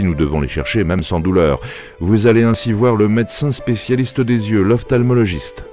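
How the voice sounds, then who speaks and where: A man plays a calm cartoon doctor and health explainer. His delivery is measured and instructive, yet very varied in intonation. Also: deep voice. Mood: reassuring, explanatory. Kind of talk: educational cartoon narration and acting.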